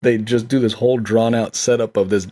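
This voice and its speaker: A man's voice: medium pitch, fairly even intonation, brisk, mellow. A man co-hosting a casual film discussion, talking in an agreeable, enthusiastic, conversational way. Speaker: podcast co-host discussing films.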